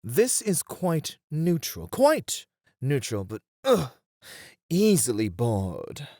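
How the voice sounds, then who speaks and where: Performer as elegant etiquette tutor, playful, poised, unhurried delivery, animated intonation, theatrical ASMR roleplay. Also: medium pitch, dry recording, studio quality, heavily acted. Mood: intent, coaxing. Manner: refined, theatrical, deliberate phrasing. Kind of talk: ASMR roleplay, scripted guided lesson.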